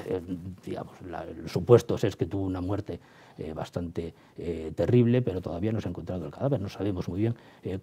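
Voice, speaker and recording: A man from explaining history as an interviewed professor, halting as he searches for words and checks with tag questions. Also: lowish voice, warm tone, slightly rough.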